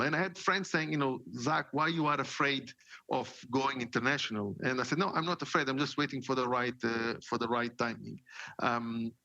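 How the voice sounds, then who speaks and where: Portuguese man, 40s, gravelly guest voice, dry dull mic, rambling with fillers. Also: medium pitch, pushed and bright, no room sound. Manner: many fillers and repeated words, storytelling. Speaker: guest, marketing executive. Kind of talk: casual interview talk.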